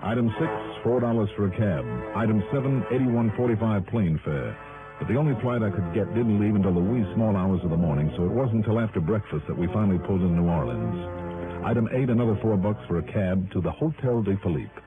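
An actor playing a wry, hard-boiled investigator who also narrates, in clipped slangy old radio detective style. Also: male voice, on the low side, brisk, narrow, tinny audio, music in the background, lightly acted. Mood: wry narration. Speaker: lead and narrator. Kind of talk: 1950s-60s radio detective acting and narration. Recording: thin, band-limited vintage radio recording.

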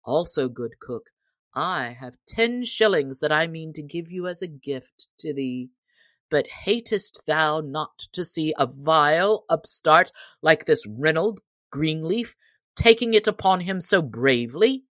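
A woman voicing a fat, scheming male steward in a storybook, aggrieved and flattering in archaic speech. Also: deep voice, measured pace, no room sound. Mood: wheedling.

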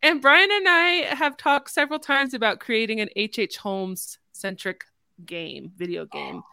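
A woman's voice: a little high, expressive, moderate pace, gravelly, sharp tone, clean tone, no room sound. A woman hosting a casual podcast, chatting informally with the other speakers. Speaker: podcast host.

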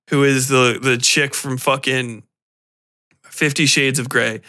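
A man's voice: mid-range voice, pushed and bright. A man hosting a podcast, rambling through casual film commentary in a profane, exasperated, sarcastic way. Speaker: podcast host.

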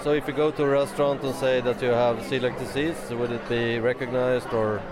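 A man's voice: mid-range voice, flat delivery, brisk, music in the background. A man working as an interviewer, asking questions in a plain interview style.

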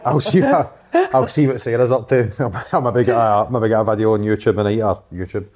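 A footballer talking frankly and thoughtfully in a casual chat, swearing casually. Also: male voice, on the low side, fairly quick, a little mellow. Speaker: footballer, guest or co-host.

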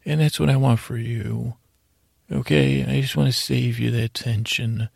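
A man with a heavily creaky, husky voice at a medium pitch hosts a bedtime podcast. He meanders and doubles back on himself in a halting, lulling monologue. The recording is dry and close, with no room sound. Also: fairly even intonation, no room sound. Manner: meandering, halting, self-interrupting, soothing intent. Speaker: podcast host.

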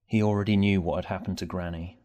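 A man's voice: sits low, fairly even intonation, fast talker, warm tone, highs cut off. A man reading a scripted story aloud as its narrator, with music behind him. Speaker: author and narrator.

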